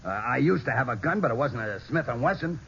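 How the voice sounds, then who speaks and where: A shifty, street-tough American hoodlum in a 1940s radio crime play. His voice is bright and forceful and jumps around in pitch. It comes through a dull old broadcast. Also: animated, fast, vocal fry, slightly rough, heavily acted. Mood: defensive. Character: cocky, shifty male hoodlum suspect.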